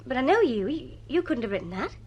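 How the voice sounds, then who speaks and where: Hurt sceptical woman, high voice, very animated, blunt, radio drama, faint background noise. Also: quick pace, muffled recording. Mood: disbelieving, scornful.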